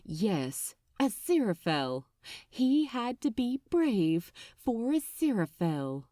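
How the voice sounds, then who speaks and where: A woman reading fiction aloud as narrator, with dramatic, deliberate phrasing and an expressive storytelling style. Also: a little high, dry recording, clean studio sound.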